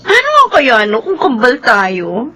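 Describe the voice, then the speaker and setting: A woman's voice: fairly high pitch, huge pitch swings, a little slow, pushed and bright, slightly rough, exaggerated voice. A woman acting a devoted, emotional young woman in a Filipino radio drama. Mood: wistful, playful.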